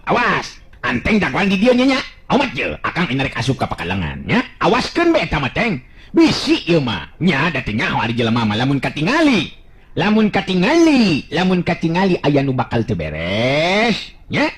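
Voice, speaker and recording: A man's voice: on the high side, huge pitch swings, moderate pace, a bit bright, husky, pitched above the performer's own voice, full character voice. A man voicing a confident, joking young folk hero who talks in a colloquial, teasing way. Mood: instructing.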